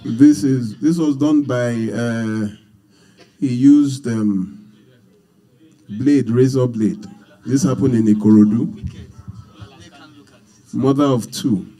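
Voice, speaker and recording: A man's voice: mid-range voice, slow pace, long pauses, dark tone. A Nigerian-accented man speaking unscripted to an audience as a seminar leader, earnest and emphatic, often repeating his phrases. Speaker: speaker leading a marriage seminar. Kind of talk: unscripted public talk to an audience.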